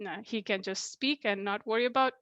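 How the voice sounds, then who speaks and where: A woman's voice: on the high side, brisk, a bit bright, dry recording, muffled recording. A middle-aged woman from Nepal, introducing a speaker in a friendly way over a video call, laughing easily. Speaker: guest introducer.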